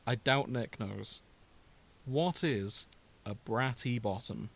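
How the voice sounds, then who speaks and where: A man's voice: medium pitch, slow pace, vocal fry, fairly bright tone. A British man chatting casually as a podcast co-host, with a jokey, bantering manner. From Britain. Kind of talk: casual comedic chat.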